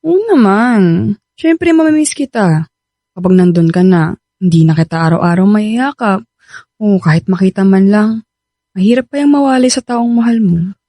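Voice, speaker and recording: Mellow, tender Filipina girlfriend. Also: mid-range voice, expressive, moderate pace, long pauses, dry recording, studio quality. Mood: anxious, tender. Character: loving, worried young live-in girlfriend. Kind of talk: Filipino radio drama acting.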